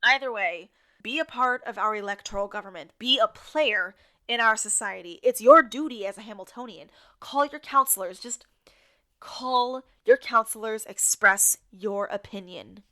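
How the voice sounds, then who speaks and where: Casting: outspoken female radio host for audio drama; high, very bright voice, wry, determined, pointed delivery. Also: moderate pace. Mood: urgent, earnest.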